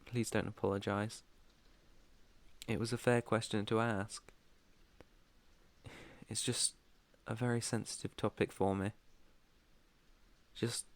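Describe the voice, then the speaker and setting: A British man playing a protective, haunted yet tender vampire master in an intimate ASMR roleplay, speaking softly, earnestly and deliberately. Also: fairly low pitch. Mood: gentle, apologetic.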